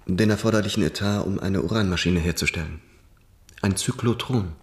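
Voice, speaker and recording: An actor playing a measured, confident male physics professor in a wartime radio drama, speaking deliberately and formally. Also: low voice. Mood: formal, matter-of-fact. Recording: roomy, echoing sound.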